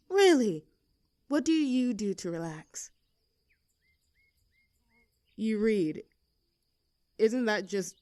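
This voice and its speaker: A woman's voice: mid-range voice, darkish tone, no room sound, clean studio sound, close to an everyday voice. A woman playing a young, teasing mean-girl student in a scripted audio roleplay, with a mocking, sarcastic, haughty manner. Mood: bored, curious.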